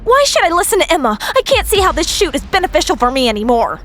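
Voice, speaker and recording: A woman's voice: very high-pitched, big pitch swings, fast talker, a bit bright, a little hiss or hum, exaggerated voice. A woman playing a spiteful, scheming young model in an audio drama, with a petulant, melodramatic delivery. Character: spiteful, scheming young female model and mistress, prone to tantrums.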